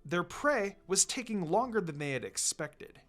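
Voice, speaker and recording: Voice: medium pitch, very animated, unhurried, a bit bright. A narrator reading fan fiction aloud as scripted storytelling.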